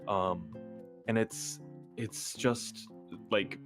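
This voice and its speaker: Male streamer guest, lowish voice, hesitant and rambling, soft guitar music underneath. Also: extremely slow. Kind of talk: casual livestream chat.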